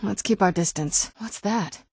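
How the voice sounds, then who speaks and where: A woman's voice: medium pitch, a little slow, dark tone, breathy edge, dry recording. Casting: wry, guarded young vampire noblewoman for a fantasy game; mid-range voice, independent streak, conversational, very dry studio sound. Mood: cautious, curious. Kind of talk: video game character dialogue.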